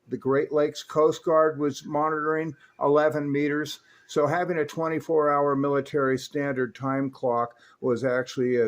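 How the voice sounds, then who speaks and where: Man demonstrating gear, medium-pitched voice. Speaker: host demonstrating radio gear. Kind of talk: hands-on product demo talk.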